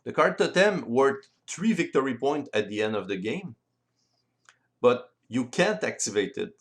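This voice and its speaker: Male game-rules presenter, native French speaker with French accent, explanatory delivery, slightly halting phrasing, mid-range voice. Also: medium pitch, lively intonation. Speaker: board game designer and presenter. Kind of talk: explanatory tutorial voice-over.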